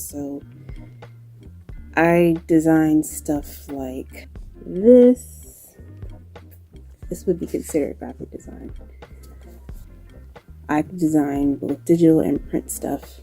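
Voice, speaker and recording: A woman with a low-pitched voice and a New York accent that has slight Southern touches. She talks casually to camera at a slow, relaxed pace, dry and low-key, with music in the background. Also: breathy edge. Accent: New York with occasional Southern touches. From New York, United States. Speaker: video creator and graphic designer. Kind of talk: casual talk to camera answering questions.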